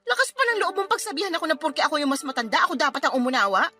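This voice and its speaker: A woman's voice: high-pitched, sing-song, racing, bright, forceful tone, faint music behind, exaggerated voice. A woman playing an indignant, defensive girlfriend in a Filipino radio drama, with emphatic, sarcastic retorts and a Filipino accent. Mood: angry. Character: indignant girlfriend, defensive, sarcastic, hot-tempered older sister type.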